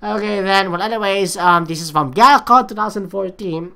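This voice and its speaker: A woman hosts a reaction video in a bright, forceful voice. Her commentary is casual and rambling, with repeated phrases and the odd swear word. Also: medium pitch, moderate pace.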